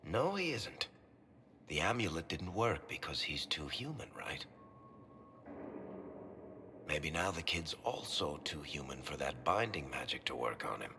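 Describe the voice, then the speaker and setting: An actor playing a gruff, worldly, kindly mentor in a video game, with a blunt, streetwise, casual manner. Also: male voice, rumbling low, big pitch swings, measured pace, pauses often, noisy background, heavily acted. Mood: easy, wry. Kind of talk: video game cutscene acting.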